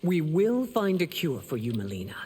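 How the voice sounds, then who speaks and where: A woman's voice: deep voice, big pitch swings, normal speed, a little mellow, slightly rough, big-room sound, exaggerated voice. An actress playing a proud, stern empress and mother in a video game, speaking formally and imperiously. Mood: solemn. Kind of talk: dramatic video game dialogue.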